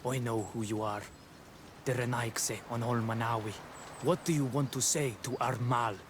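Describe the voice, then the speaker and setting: An actor playing a wary, solemn rebel scout in a fantasy video game. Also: male voice, mid-range voice, steady tone, normal speed, background noise, lightly acted. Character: wary male rebel scout of a native clan.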